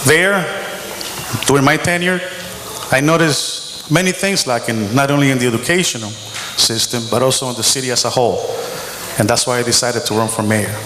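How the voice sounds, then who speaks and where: A middle-aged man giving a measured, earnest opening statement as a mayoral candidate at a school debate. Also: mid-range voice, fairly bright tone, slight background noise. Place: school auditorium. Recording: roomy hall with long reverb.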